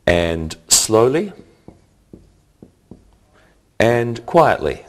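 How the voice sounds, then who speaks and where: A man teaching an English grammar lesson in a scripted, deliberate, instructional way, enunciating carefully. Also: mid-range voice, big pitch swings.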